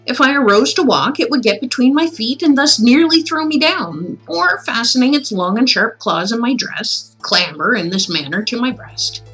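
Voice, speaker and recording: Woman streamer w kinda high voice, reads stories out loud n chats playfully, soft music in back. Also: rough recording. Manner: measured, expressive reading; playful, chatty asides. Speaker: livestream host reading classic tales aloud. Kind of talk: story reading aloud with casual chat asides.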